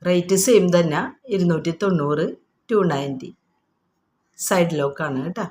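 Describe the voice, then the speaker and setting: A woman's voice: lowish voice, moderate pace, a little mellow. A woman with a Kerala accent informally showing products item by item, explaining each and repeating prices in an unscripted, repetitive way.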